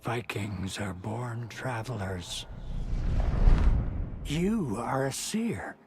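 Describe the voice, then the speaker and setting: An actor playing a weary, wry old Norse mystic in a video game, speaking cryptically and portentously. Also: male voice, medium pitch, very animated, drawn-out words, background noise, full character voice. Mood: solemn. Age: older. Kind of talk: cinematic video game acting.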